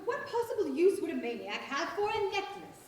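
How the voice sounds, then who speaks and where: A woman's voice: very high-pitched, big pitch swings, a little fast. A woman playing a haughty, self-righteous cousin in a staged radio play, speaking in a disdainful, pompous way. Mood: scornful. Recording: noisy echoey hall, distant pickup.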